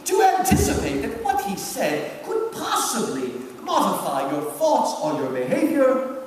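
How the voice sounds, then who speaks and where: A man performs a scheming senior devil, pompous and sardonic, in a dramatic letter reading. The pitch is high and swoops freely as he savors each deliberate phrase. It carries from far off in a very echoey hall. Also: huge pitch swings, heavily acted. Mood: smug, sardonic. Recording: distant, very echoey hall, background noise.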